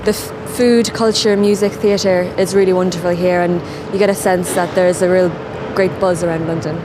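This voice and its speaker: A woman's voice: fairly low pitch, fairly even intonation, normal speed. Woman in a street interview, traffic behind. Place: city street outdoors. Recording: outdoors with traffic noise.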